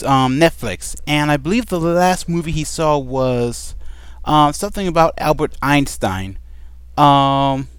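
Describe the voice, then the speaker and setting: A man's voice: on the high side, a bit bright, clean tone, dry recording. A man chatting casually at home, answering a list of questions with many ums and a playful, rambling manner. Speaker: vlogger answering a question tag. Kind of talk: casual chat. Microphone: desk microphone.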